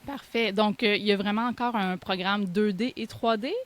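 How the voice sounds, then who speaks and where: A woman's voice: mid-range voice, fairly even intonation, moderate pace. A woman interviewer with a Quebec French accent talks in a casual, informal style for an online show. She feels her way through sentences, often correcting herself as she goes. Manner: hesitant, self-correcting, informal. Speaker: interviewer and host.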